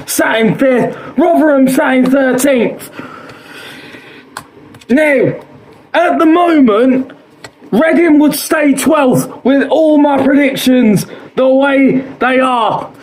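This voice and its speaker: A man with a hoarse, fading voice hosts his own show, predicting football scores in a chatty, repetitive way and filling pauses with "uh". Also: extremely high pitch, slight background noise, rough recording. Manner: chatty, quotes odds, repeats himself, frequent "uh".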